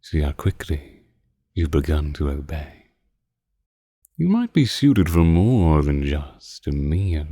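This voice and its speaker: A man playing a seductive, possessive vampire in an intimate ASMR roleplay, teasing his captive with condescending tenderness in a slow purr. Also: very deep voice, sing-song, dark tone, no room sound, studio quality, exaggerated voice. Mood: amused, possessive.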